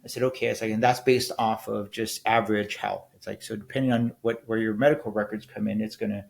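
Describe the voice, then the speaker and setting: Man in his fifties, gravelly low voice, blunt rambling solo podcast talk. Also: steady tone, fast. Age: 50s. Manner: blunt, rambling, frequent restarts and "right?" tags. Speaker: podcast host, military veteran. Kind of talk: casual solo podcast monologue.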